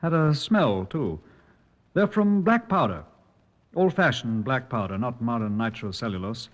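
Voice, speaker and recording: A shrewd British police sergeant, played by a man in a vintage radio drama, heard with long reverb on a dull old recording. He is deferential and matter-of-fact, with a mid-pitched voice. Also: lively intonation, measured pace, natural voice. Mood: observant.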